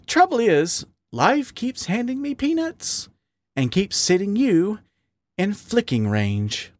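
A man's voice: high voice, sing-song, slow, voice pushed up, full character voice. A man voicing a bitter, lovesick male raccoon in a dramatic story reading, goading and pleading in a world-weary way. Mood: snarling, goading. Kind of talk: dramatic audiobook character reading.

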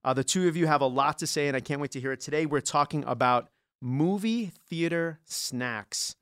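A man's voice: fairly high pitch, moderate pace, a bit bright, smooth, dry recording. Jokey male podcast host, dry studio sound. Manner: jokey, laughs often. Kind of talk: playful podcast hosting.

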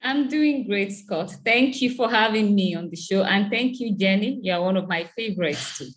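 A Nigerian woman speaks as a guest in a casual interview. She is easygoing and laughs often as she talks. Her voice sits at a middle pitch for a woman. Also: animated, normal speed. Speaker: guest, chief executive.

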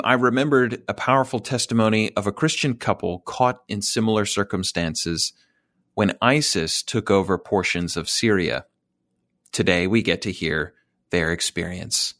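A man hosting an interview podcast, speaking in an earnest, measured way. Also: fairly low pitch, fairly bright tone, dry recording. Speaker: host.

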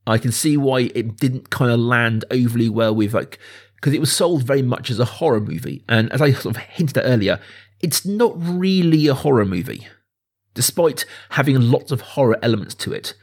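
A man's voice: medium pitch, expressive, moderate pace. A man hosting a podcast in a casual, chatty way, discussing film informally with asides to the listeners. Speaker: podcast host.

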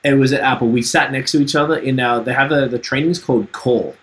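A man's voice: medium pitch, steady tone, fast talker. Laid-back Trinidadian man hosting. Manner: laid-back, swears freely, laughs often. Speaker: podcast host and musician. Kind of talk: casual podcast chat.

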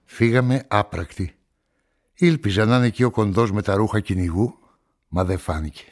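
An actor playing a brooding journalist and amateur sleuth in a radio play, measured and introspective. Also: male voice, lowish voice, no room sound, close to an everyday voice. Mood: reflective. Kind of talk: radio play narration and dialogue. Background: music.

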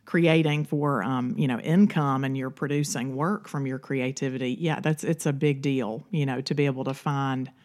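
A woman's voice: extremely low pitch, steady tone, moderate pace, few pauses, a little mellow. A woman co-hosting a podcast in a casual, chatty way, often padding her sentences with filler phrases. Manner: chatty, peppered with "you know". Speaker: podcast co-host. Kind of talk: casual conversational podcast talk.

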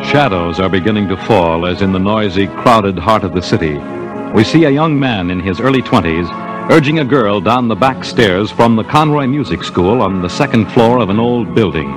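A man's voice: fairly low pitch, lively intonation, moderate pace, natural voice. A man with an American accent narrating a 1950s radio detective mystery in a scripted, dramatic announcer's style. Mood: grave, dramatic. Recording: 1950s radio broadcast with music.

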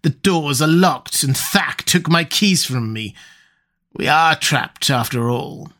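A man's voice: on the high side, expressive, slow pace, bright, forceful tone, exaggerated voice. A man voicing a scheming middle-aged priest who is gripped by fear, with a raised, theatrical, urgent delivery. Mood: desperate.

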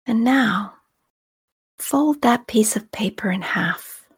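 A woman leading a guided meditation in a soft, often whispery voice, calm and soothing, with long unhurried pauses. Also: a little high, husky. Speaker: meditation guide and podcast host. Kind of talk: guided meditation, slow scripted narration.

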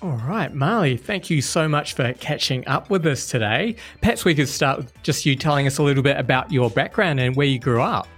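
A man's voice: mid-range voice, brisk, few pauses, fairly bright tone, slightly rough. Aussie guy hosting a podcast, upbeat and welcoming, mid voice, faint music behind. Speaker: podcast host. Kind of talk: scripted podcast intro, then interview.

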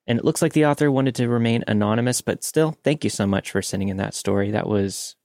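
A man hosting a podcast, telling anecdotes casually in an animated, conversational way. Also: mid-range voice, fast talker, dry recording, studio quality. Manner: animated storytelling with mimicked sounds. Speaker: podcast host.

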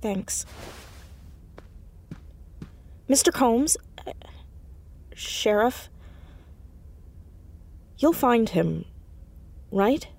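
A woman playing an anxious, earnest high school girl in an audio drama, hesitant but insistent as she talks. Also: animated, very slow, vocal fry, long pauses, a little mellow, exaggerated voice. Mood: worried, subdued. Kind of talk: naturalistic audio drama acting.

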